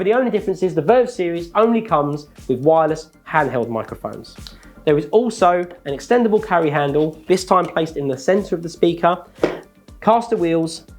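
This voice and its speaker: Methodical man presenting gear over faint music. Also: fairly high pitch. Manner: measured, methodical listing of features. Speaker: product presenter. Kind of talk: scripted product walkthrough.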